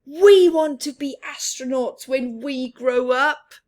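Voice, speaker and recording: A woman voicing an excited young child astronaut in a storybook, high and squealing. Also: takes it slowly. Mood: eager.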